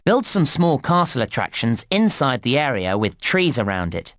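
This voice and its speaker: A man voicing a cheery, eager theme park guide in a children's computer game, giving scripted instructions in an animated, encouraging manner. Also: medium pitch, dry recording, studio quality, lightly acted. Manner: animated, clearly enunciated instructions, exclamatory.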